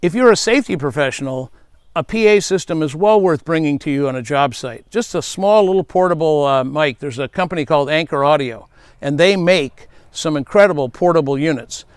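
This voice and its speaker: A man's voice: on the high side, expressive, fairly quick, clear, resonant tone, no room sound, studio quality. A middle-aged man, a safety speaker, giving earnest advice and telling stories from his past. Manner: earnest, advising, storytelling. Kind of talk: speaking tip to camera.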